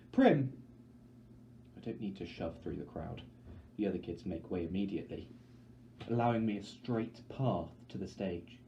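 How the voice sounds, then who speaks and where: A man's voice: deep voice, warm tone. A man reading a story aloud as scripted, dramatic narration. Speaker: audiobook reader, teacher. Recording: dull recording with light background noise.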